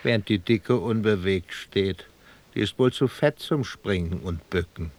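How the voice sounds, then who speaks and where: An actor playing a jaunty, blunt captive servant in a rhymed fairy-tale drama, declaiming in verse. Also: male voice, lowish voice, measured pace, darkish tone, lightly acted. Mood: wry, sly. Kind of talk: rhymed theatrical musical fairy-tale acting.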